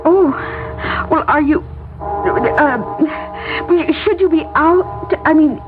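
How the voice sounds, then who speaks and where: A woman's voice: sits high, very slow. An actress playing a frantic, secretive woman in a 1970s radio drama, breathless, stammering and pleading. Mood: nervous, hesitant. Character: frantic, evasive, secretive woman lost in fog. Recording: lo-fi phone-like radio broadcast, music behind.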